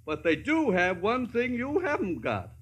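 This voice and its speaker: An actor playing a flustered but kindly older humbug wizard, blustering grandly in 1950s radio drama style. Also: male voice, high voice, wildly swooping pitch, measured pace, fairly bright tone, husky, exaggerated voice. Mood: pompous, kindly.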